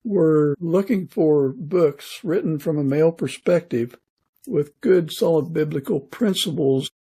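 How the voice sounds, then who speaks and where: A man hosts a casual interview podcast. His voice is fairly high for a man, with a mellow, husky tone. He puts his questions in a conversational way. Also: unhurried. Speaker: podcast host.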